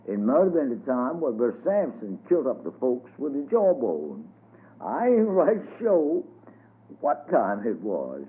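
A man's voice: mid-range voice, voice pushed up. A man voicing an older woman servant who runs things firmly, on a tinny radio broadcast. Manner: firm, bossy dialect speech. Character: elderly woman servant, commanding and firm. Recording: tinny phone-like radio sound.

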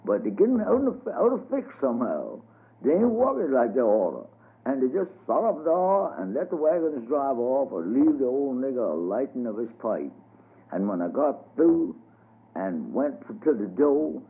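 A man voicing a very old, frail man who rambles gently, on a tinny radio broadcast. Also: medium pitch, gravelly. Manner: slow, rambling old-timer talk. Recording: tinny phone-like radio sound.

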